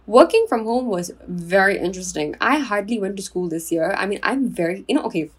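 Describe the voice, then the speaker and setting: A young woman from Singapore hosting her own podcast in a chatty, confiding way, enunciating clearly with a soothing voice. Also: mid-range voice, expressive, brisk. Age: 20s. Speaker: podcast host. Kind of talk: casual solo podcast chat.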